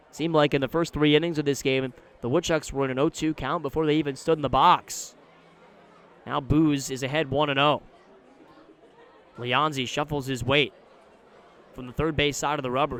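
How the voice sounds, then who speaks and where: Guy doing baseball radio play-by-play, voice on the higher side, live from the ballpark. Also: a bit bright, smooth. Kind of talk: live sports commentary.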